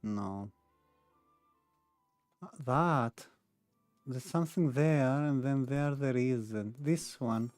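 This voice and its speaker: A woman's voice: extremely low pitch, drawn-out words, pauses often, darkish tone, no echo, clean studio sound, soft background music. A woman doing casual gameplay commentary, thinking aloud as she plays. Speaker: game streamer.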